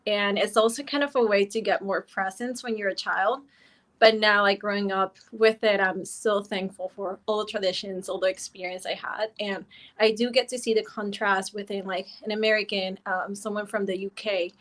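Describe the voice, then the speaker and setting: A woman's voice: medium pitch, steady tone, moderate pace, a bit bright. A woman panelist speaking earnestly and appreciatively in a casual panel talk.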